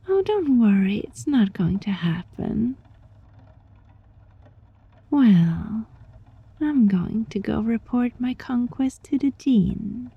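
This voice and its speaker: A woman voicing a sly, teasing, controlling yet gentle fox-spirit roommate in an ASMR roleplay, with a breathy voice that often drops into a whisper. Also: a little high, big pitch swings, highs cut off, exaggerated voice. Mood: teasing, gloating. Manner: slow, teasing, softly menacing. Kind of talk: ASMR roleplay, scripted monologue addressed to listener.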